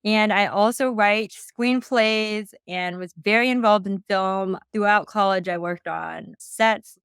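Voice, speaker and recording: A woman's voice: mid-range voice, takes it slowly, fairly bright tone, clear, resonant tone, dry recording. A young American woman, a history teacher, chatting casually as a podcast guest. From United States.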